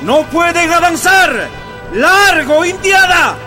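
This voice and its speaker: A man's voice: very high-pitched, animated, slow, pushed and bright, faint music behind, exaggerated voice. A man playing a contemptuous army officer in a radio drama, barking threats in a menacing way. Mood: menacing, scornful.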